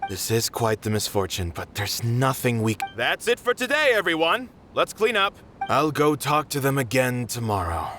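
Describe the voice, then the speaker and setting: An actor playing a protective, firm theater manager in a video game, exasperated and expressive. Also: male voice, mid-range voice, normal speed. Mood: resigned. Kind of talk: video game character acting. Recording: music playing under the voice. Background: music.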